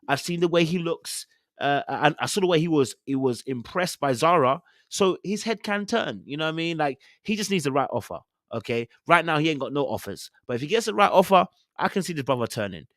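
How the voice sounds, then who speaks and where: Animated London man, higher-pitched voice. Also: brisk, no room sound, clean studio sound. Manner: animated, slangy, theatrical retelling. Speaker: podcast host. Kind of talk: casual opinionated commentary on reality TV.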